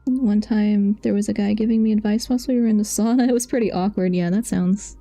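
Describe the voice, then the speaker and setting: A woman's voice: medium pitch, steady tone, fairly quick, dark tone. A woman chatting casually while livestreaming a game, sometimes reading dialogue aloud. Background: faint game music.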